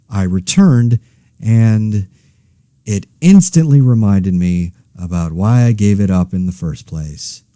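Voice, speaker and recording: A man's voice: fairly low pitch, warm tone, no echo, studio quality. A man playing an exasperated, anxious everyman podcaster in a conversational audio drama, rambling wryly and interrupting himself. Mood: wry, frustrated. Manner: wry, rambling, self-interrupting. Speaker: host of a fictional monologue podcast.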